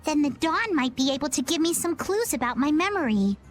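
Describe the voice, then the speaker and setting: A woman's voice: high voice, expressive, a little fast, faint music behind. A woman voicing a small, self-assured young girl seafarer in a video game, plucky and matter-of-fact. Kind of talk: animated video game dubbing.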